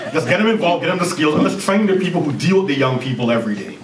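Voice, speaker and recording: A man in his thirties with a Jamaican accent, a CEO giving an energetic conference presentation and joking with the audience. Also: a little high, racing, a crowd in the background. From Canada. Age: 30s. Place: university lecture hall. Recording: roomy hall recording from far off.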